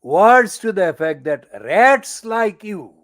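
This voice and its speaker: Older male commentator, slow and emphatic, lively swooping pitch, profane and furious. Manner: slow, deliberate phrasing with stressed words. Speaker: channel editor giving commentary. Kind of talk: personal political monologue.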